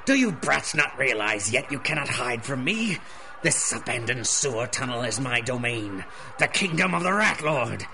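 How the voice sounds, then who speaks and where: An actor playing a pompous, menacing villain who lords over child thieves in a 1930s-style radio drama, speaking with mock courtesy. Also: male voice, medium pitch, big pitch swings, normal speed, vocal fry, pushed and bright, slight background noise, full character voice. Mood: imperious. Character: theatrical villain Rat Lord ruling child thieves from a sewer.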